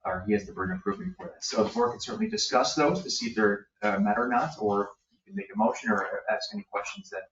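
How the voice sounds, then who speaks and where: A man advising a zoning board on its legal standard, explaining in a halting way with many fillers. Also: sits high, sing-song, creaky, a bit bright, slight background noise. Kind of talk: explaining legal procedure at a meeting. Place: village hall meeting room. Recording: echoey room, distant muffled mic.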